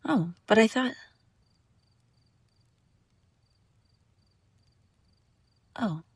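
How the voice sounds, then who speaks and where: A woman's voice: fairly high pitch, animated, normal speed, husky, dry recording, full character voice. Haughty lovesick young princess, acted by a woman, close and dry. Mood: hurt, confessing. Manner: teasing, indignant, sighing, breaking off mid-sentence. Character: haughty young princess bride, sharp-tongued, secretly lovesick. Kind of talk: intimate scripted audio roleplay addressed to listener.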